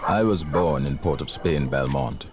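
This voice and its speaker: An actor narrating as an elderly man from Trinidad, recalling his colonial childhood in a measured, reflective voice-over. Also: very deep voice. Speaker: narrator, older Ulric Cross. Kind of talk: scripted film voice-over narration. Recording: voice-over with loud film score.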